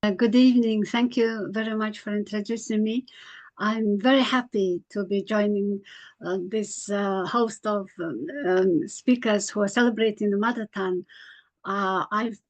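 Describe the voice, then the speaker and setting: A middle-aged woman from Mongolia, giving a hesitant conference talk over a video call, with many pauses and fillers. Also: mid-range voice, fairly even intonation, low-quality recording. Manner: hesitant, many ums and uhs, self-correcting. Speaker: conference speaker. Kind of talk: online conference talk with slides.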